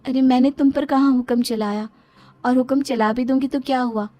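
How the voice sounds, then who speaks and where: Woman acting a gentle, naive young daughter-in-law. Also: high-pitched, fairly even intonation, normal speed, no echo, faint music behind, pitched above the performer's own voice, natural voice. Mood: gentle protest. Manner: meek, sisterly.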